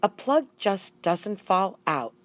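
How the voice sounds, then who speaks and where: A woman's voice: medium pitch, very animated, slow. A woman voicing a kindly, folksy husband and father in a children's story, with emphatic phrasing. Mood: puzzled.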